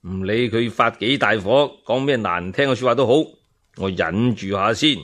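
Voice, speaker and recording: A man voicing a calculating new emperor with outward politeness in a Cantonese storytelling drama, controlled and smooth-talking. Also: on the low side, moderate pace, fairly bright tone, pitched below the performer's own voice, lightly acted.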